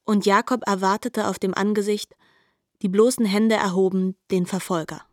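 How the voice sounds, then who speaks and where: A woman's voice: medium pitch, fairly even intonation, moderate pace, a little hiss or hum. A woman narrating a literary radio drama as an articulate storyteller.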